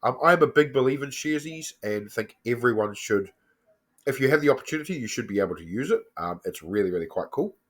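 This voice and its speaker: A man with a New Zealand accent who has a cold and sounds slightly croaky, casually walking through his finance app on screen with lots of ums and self-corrections. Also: medium pitch, animated, fast talker. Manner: chatty, loose, frequent ums and self-corrections. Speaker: personal finance vlogger. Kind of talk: casual screen-share walkthrough.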